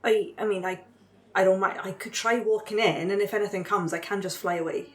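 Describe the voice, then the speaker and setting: A woman improvises as a curious winged forest-dweller in a roleplaying game. She is forthright and questioning, with a matter-of-fact delivery at an ordinary female pitch. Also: fairly even intonation, a little fast, close to an everyday voice. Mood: inquisitive.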